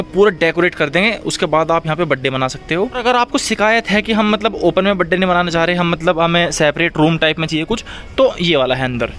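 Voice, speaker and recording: A man's voice: on the high side, fairly bright tone. A man in his twenties with a western Uttar Pradesh accent, chatting informally to viewers as a vlogger. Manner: informal, addresses viewers directly. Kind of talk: casual vlog chat. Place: outdoors, roadside and restaurant garden.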